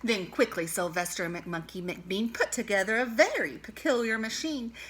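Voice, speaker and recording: A woman's voice: mid-range voice, normal speed, background noise. A woman reading a children's storybook aloud expressively, like a teacher to young pupils.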